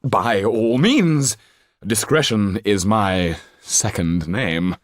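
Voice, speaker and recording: A man voicing a flamboyant, down-at-heel stage actor in an audiobook, effusive and courtly, with grandiloquent, drawn-out phrasing. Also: on the low side, no room sound, clean studio sound, pitched above the performer's own voice. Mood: theatrical relish. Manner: grandiloquent, declamatory, drawn-out delivery.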